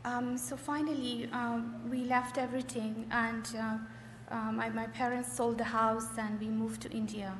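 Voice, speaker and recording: A middle-aged woman from Afghanistan giving a personal testimony in short phrases, hesitant and often pausing. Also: a little high, level tone. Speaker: church member giving testimony. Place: large echoey hall. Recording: echoey hall with faint noise.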